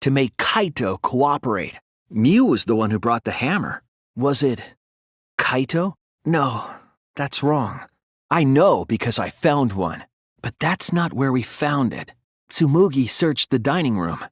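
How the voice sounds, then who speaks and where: A man voicing an earnest teenage boy detective in a dramatic anime-style video game, hesitant at times and forceful when arguing. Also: mid-range voice, sing-song, a little slow, slightly rough, no echo, studio quality. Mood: tense, questioning.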